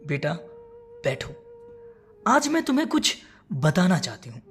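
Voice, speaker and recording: A woman voicing a worried, self-sacrificing elderly mother in a moral story, speaking gently and earnestly. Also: lowish voice, huge pitch swings, measured pace, soft background music. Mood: calm, earnest.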